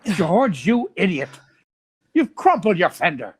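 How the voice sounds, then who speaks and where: Over a noisy track with car sounds, a man acts a blustery older boss from a radio sitcom. He is nosy and emphatic, with lively swoops and slow, drawn-out exclamations. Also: high voice. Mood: dismayed, scolding. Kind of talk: re-created 1940s radio sitcom acting.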